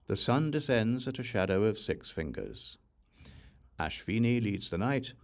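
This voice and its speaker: Male audiobook narrator, low pitch, varied intonation, measured formal recitation, scripture reading. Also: a little mellow.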